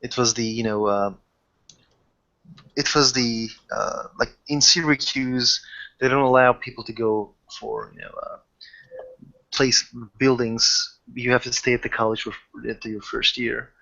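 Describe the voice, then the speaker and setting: A man who haltingly searches for words as he improvises in a casual tabletop roleplaying session. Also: medium pitch, steady tone, vocal fry, bright, forceful tone, highs cut off. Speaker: game master or player in a tabletop roleplaying session.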